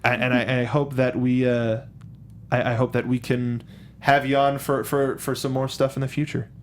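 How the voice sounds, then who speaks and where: An American man hosts a film talk show from a studio. His delivery is casual and conversational, with frequent repeated words and halting restarts as he works through each thought. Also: medium pitch, level tone, a little hiss or hum. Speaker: host of a film review show. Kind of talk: casual film discussion chat. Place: studio with a control booth.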